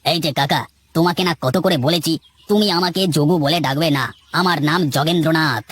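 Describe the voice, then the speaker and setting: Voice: medium pitch, a little fast, husky. An actor voicing a proud, touchy village man in a cartoon, bantering and argumentative in story dialogue. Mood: indignant. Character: touchy village messenger, proud of his long name. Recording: loud music under the voice.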